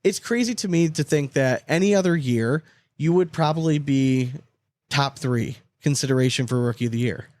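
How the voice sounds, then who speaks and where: A man's voice: mid-range voice, normal speed, a little mellow, no echo. A man co-hosting a podcast with casual banter, talking in a blunt, profane, slangy way. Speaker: podcast co-host.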